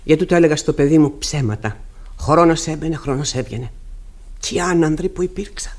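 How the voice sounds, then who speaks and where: An actress playing a bitter, haunted middle-aged widow in a classic radio drama, with an emphatic, theatrical delivery. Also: female voice, deep voice, darkish tone. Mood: bitter, resolute.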